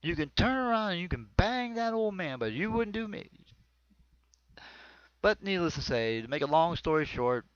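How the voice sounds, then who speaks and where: A dull, lo-fi recording with very little room sound carries an American man's slightly high voice. He delivers a personal comedy routine as loose, conversational storytelling, wandering from one thought to the next. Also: big pitch swings, normal speed, no echo. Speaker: amateur comedian. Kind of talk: autobiographical comedy monologue. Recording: dull, lo-fi close dry recording.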